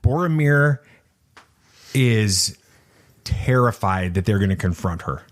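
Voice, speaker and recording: A man's voice: lowish voice, animated, slow pace. A middle-aged man co-hosting a podcast in casual fan discussion, speaking emphatically and a little preachy, repeating himself for weight. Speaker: podcast co-host.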